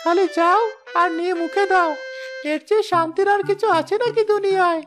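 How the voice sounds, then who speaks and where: A woman's voice: very high voice, fairly even intonation, brisk, fairly bright tone, faint music behind. A woman voicing a greedy, sneaky female village ghost in a cartoon, gloating and scheming. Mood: smug. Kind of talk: cartoon voice acting.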